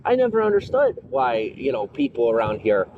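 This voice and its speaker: A man's voice: mid-range voice, huge pitch swings, moderate pace, narrow, tinny audio. A man chatting casually to a livestream audience in a rambling, filler-heavy way, recorded outdoors on a noisy street with passing traffic. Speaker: streamer.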